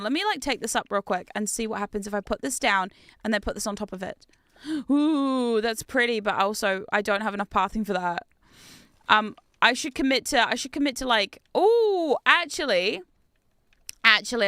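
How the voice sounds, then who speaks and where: A woman's voice: a little high, moderate pace, no room sound, studio quality. A young woman from New Zealand with a mixed New Zealand and British accent, chatting casually while livestreaming a game and reading chat aloud. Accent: mix of New Zealand and British. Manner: chatty, animated, reads chat aloud, laughs often. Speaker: game streamer.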